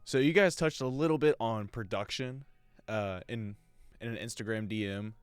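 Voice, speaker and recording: A man's voice: fairly low pitch, moderate pace, clear, resonant tone, no echo, faint music behind. A man hosting an interview, asking friendly and enthusiastic questions.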